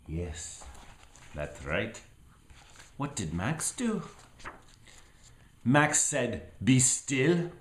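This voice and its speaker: A man reading a picture book aloud to a small child as a father, theatrical and playful, with asides and drawn-out words. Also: fairly low pitch, roomy sound.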